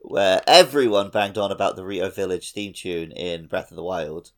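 A man's voice: low voice, normal speed. A man co-hosting a gaming podcast, explaining things in an easygoing, casual way. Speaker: podcast co-host.

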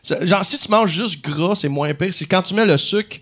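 A man with a Quebec French accent and a somewhat high voice. He chats casually as a podcast guest, in a slangy, joking manner sprinkled with Quebec swearwords. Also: normal speed, a bit bright. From Montreal area, Canada. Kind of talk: casual comedic chat.

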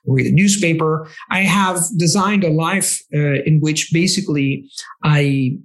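A man's voice: a little high, a little slow. A man, a language coach answering interview questions in a rambling way with many restarts. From Italy. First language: Italian.

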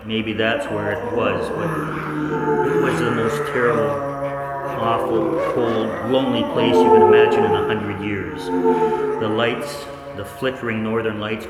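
An actor as a deep-voiced haunted scientist, echoing hall. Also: male voice, big pitch swings, over loud music. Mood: anguished. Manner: dramatic, deliberate storytelling. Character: haunted 1940s scientist recounting cosmic horror. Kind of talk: 1940s radio play monologue. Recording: echoey hall, long reverb, faint noise.

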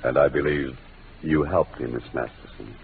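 A deep-voiced hard-boiled detective. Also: male voice, moderate pace, gravelly. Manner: dry, clipped, confident. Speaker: lead of a radio mystery. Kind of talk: 1940s radio acting. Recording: tinny old radio broadcast with music.

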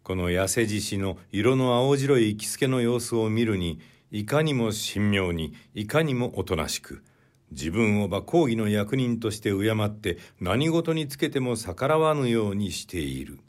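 Male audiobook narrator, lowish pitch, deliberate and measured delivery of literary prose. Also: lively intonation.

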